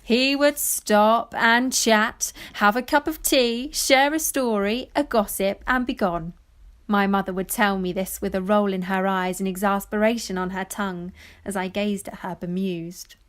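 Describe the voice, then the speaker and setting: A woman playing a wistful granddaughter, giving lyrical, measured narration in an audio play. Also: medium pitch, steady tone, a bit bright, close to an everyday voice. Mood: fond recollection. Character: wistful granddaughter narrating family memories of fishing village life. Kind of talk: poetic scripted narration.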